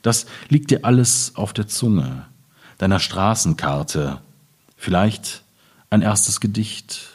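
A man from Germany's Ruhr region, a poet at a literary reading, speaking in a husky, deliberate, measured way. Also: fairly low pitch, animated, darkish tone. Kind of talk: poetry reading with informal commentary.

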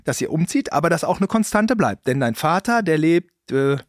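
A man's voice: on the high side, expressive, moderate pace, dry recording, studio quality. An adult man hosting a casual interview, chatty and joking, with a slight accent and lots of fillers and trailing questions. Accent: slight non-native accent. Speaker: podcast host.

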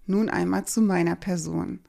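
A woman in her forties from Germany, a podcast host calmly introducing herself with fillers and repeated words. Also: on the low side, normal speed, gravelly. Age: 40s. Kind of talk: personal introduction monologue.

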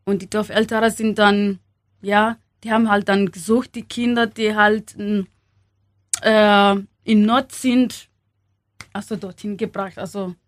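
A young woman from Kenya speaking German as a second language, halting with many fillers and small grammar slips, as an interview guest. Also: mid-range voice, steady tone, no echo. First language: not German. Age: young adult.

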